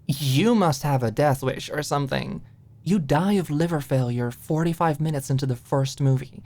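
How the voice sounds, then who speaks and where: A woman's voice: sits low, normal speed, warm tone. A woman voicing an easygoing, teasing young college roommate in an audiobook, with casual, wry delivery. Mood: wry, amused. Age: young adult.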